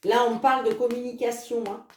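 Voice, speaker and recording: A woman's voice: fairly high pitch, level tone, darkish tone, reverberant, far from the mic. A woman reading oracle cards for her viewers in a casual, chatty way, with many filler words. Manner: chatty, fills with "hein" and "les amis"; reads card messages slowly. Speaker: tarot card reader.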